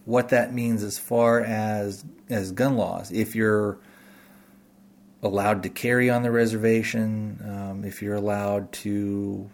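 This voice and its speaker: American guy podcast host, lowish voice, rambling and unsure, thinking out loud to listeners. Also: little pitch movement, takes it slowly, vocal fry. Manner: rambling, tentative, thinking aloud. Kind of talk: unscripted talk to listeners.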